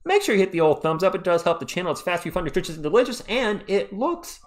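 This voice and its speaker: A man's voice: high voice, very animated, quick pace, a little hiss or hum. A man hosting a live sports stream, chatting casually and reading viewer comments aloud, his voice worn out.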